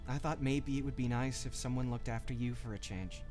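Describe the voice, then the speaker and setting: A man's voice: mid-range voice, fairly even intonation, a little fast, music in the background, natural voice. An actor playing an earnest, devoted young village man who asks questions. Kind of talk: animated series voice acting.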